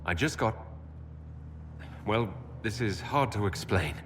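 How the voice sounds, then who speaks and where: A man's voice: lowish voice, expressive, slow pace, a bit bright, slight background noise. A man playing a resolute elven hero in a fantasy game, sincere and determined. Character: earnest, resolute young male elven hero. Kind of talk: dramatic fantasy game dialogue.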